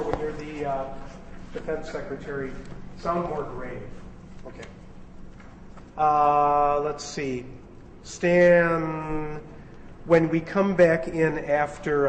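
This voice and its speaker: A man's voice: on the high side, slight background noise. A man directing a radio play, giving casual rehearsal notes to his cast from some way off in an echoey hall. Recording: echoey hall, distant, music behind.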